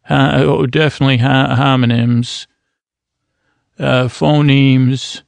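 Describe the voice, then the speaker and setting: A man hosting a bedtime podcast who rambles in a meandering, halting way, stretching out some words slowly to lull listeners to sleep. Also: medium pitch, fairly even intonation, creaky, no echo. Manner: meandering, halting, drifting into slow drawn-out words. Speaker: podcast host.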